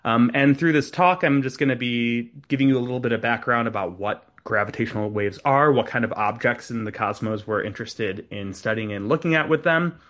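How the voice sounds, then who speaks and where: A young man giving a relaxed, conversational science talk as a guest speaker, joining over a video call. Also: mid-range voice, fairly quick, few pauses. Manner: relaxed, conversational, many ums.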